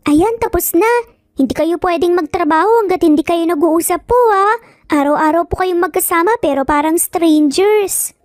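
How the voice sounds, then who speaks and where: A woman's voice: very high-pitched, moderate pace, a little mellow, dry recording, studio quality, soft background music, pitched above the performer's own voice. A woman voicing a stubborn, cute little boy in a dramatized story, speaking in an insistent, scolding but polite childlike way.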